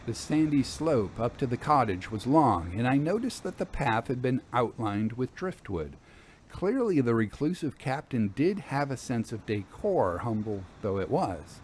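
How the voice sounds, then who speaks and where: A man reading scripted literary narration as a storyteller, with formal, measured phrasing. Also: medium pitch, big pitch swings, darkish tone, a little hiss or hum.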